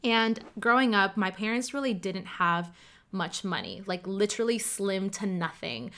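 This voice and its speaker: A woman's voice: mid-range voice, animated, normal speed, fairly bright tone. Candid woman telling her story. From Ottawa, Canada. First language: Cantonese. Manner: candid, conversational, frequent "like" fillers. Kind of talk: personal storytelling, casual.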